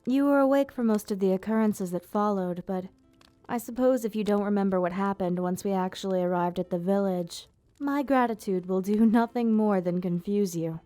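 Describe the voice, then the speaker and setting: Woman acting an elegant, dark-voiced vampire ruler. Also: mid-range voice, no room sound, soft background music, close to an everyday voice. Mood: composed, gently amused. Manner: formal, measured, theatrical diction. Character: elegant female vampire ruler, proud yet tender. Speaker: ASMR roleplay performer. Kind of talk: ASMR roleplay, scripted monologue to listener.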